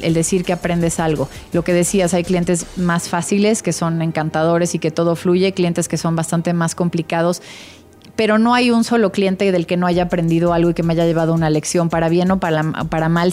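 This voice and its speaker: A Mexican woman with a fairly low voice answers interview questions conversationally. She sounds reflective and enthusiastic about her work as an interior designer. Faint music plays behind her. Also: fairly even intonation, fairly quick, a little mellow. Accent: Mexican Spanish.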